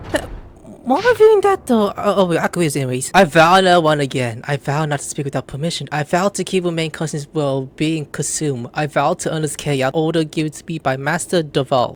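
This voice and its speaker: Slangy male gamer with lively pitch. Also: a little hiss or hum. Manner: slangy, exclamatory, stumbles when reading aloud. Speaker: gaming video host. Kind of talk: casual gameplay commentary with read-outs. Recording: faint game music behind the voice.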